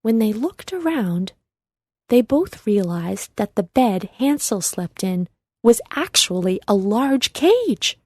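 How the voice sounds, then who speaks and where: Female narrator for kids' read-along story: measured, slow delivery, mellow tone. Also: fairly high pitch, very animated, breathy edge, no echo. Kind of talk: scripted children's storybook narration.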